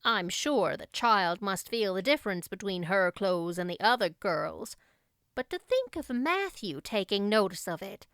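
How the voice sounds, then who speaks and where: An opinionated older country gossip, played by a woman reading aloud. She is folksy, emphatic and plain-spoken, with a clear voice recorded close and dry, as in a storybook. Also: medium pitch, very animated, a little slow, a bit bright, no room sound. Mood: opinionated, musing. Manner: emphatic, plain-spoken, rustic turns of phrase.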